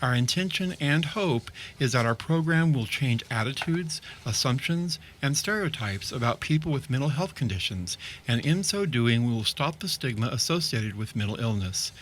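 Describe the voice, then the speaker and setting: Guy hosting local radio talk, mid pitch, upbeat and chatty, bit of hiss behind. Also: expressive, moderate pace, hardly any pauses, fairly bright tone, low-quality recording. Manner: scripted opening, then chatty and upbeat with the guest. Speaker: radio show host. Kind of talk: community radio interview.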